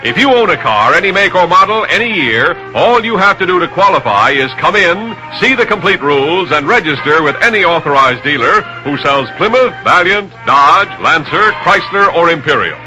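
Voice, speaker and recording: A man's voice: fairly high pitch, big pitch swings, normal speed, few pauses, low-quality recording. An American man reading a scripted 1960s radio commercial as an announcer. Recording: vintage 1961 radio recording. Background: music.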